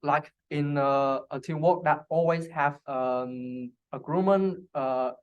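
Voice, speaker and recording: A young man who speaks English as a second language, answering interview questions casually and haltingly, searching for words. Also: fairly high pitch, smooth, highs cut off. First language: not English. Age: young adult. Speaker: interview guest, international student.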